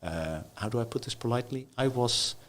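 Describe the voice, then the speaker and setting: A man in his fifties with a Dutch accent, a professor telling his career story as a podcast guest in a relaxed, self-deprecating way. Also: mid-range voice, a little fast. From Netherlands. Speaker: guest, software engineering professor. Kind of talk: casual podcast interview.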